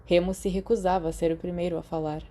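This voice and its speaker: Mellow, lowish Brazilian woman narrating a story. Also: flat delivery, fast, slight background noise. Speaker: audiobook narrator. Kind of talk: expressive scripted story narration.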